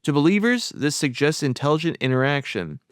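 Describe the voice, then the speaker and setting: A man narrating a scripted voice-over breakdown in a measured, explanatory way. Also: fairly high pitch, very animated, slight background noise. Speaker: video commentator.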